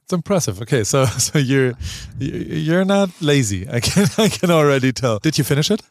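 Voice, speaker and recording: A man's voice: medium pitch, very animated, brisk, dry recording, clean studio sound. A man hosting an interview, asking curious, friendly questions and laughing along. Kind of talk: casual interview.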